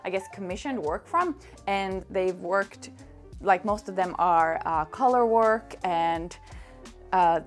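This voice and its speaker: Young woman, Swedish first language, casual vlogger, middle pitch, bubbly and chatty, faint music underneath. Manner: chatty, enthusiastic, many fillers and laughs. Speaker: knitting vlogger. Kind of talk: casual chat.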